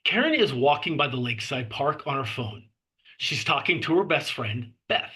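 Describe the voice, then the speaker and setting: A man's voice: medium pitch, expressive, normal speed, bright, forceful tone. A man reading screenplay action lines and scene headings as narrator at a table read. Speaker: stage directions reader.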